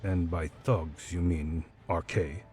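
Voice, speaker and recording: A curt, defiant prisoner. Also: male voice, sits low, steady tone, slow pace, a little mellow, soft background music. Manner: curt, dismissive replies. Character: cold defiant male prisoner, sardonic. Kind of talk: game voice acting, dub.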